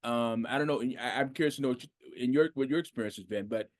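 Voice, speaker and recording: Talkative middle-aged American podcast host. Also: male voice, medium pitch, quick pace, no room sound. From United States. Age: 50s. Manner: animated, conversational, lots of fillers and restarts. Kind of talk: casual interview.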